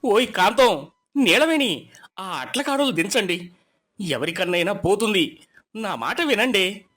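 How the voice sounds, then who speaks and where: A woman's voice: medium pitch, lively intonation, measured pace, a bit bright. A woman voicing a timid, henpecked man of the house who speaks anxiously in a moral story. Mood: pleading. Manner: pleading, anxious.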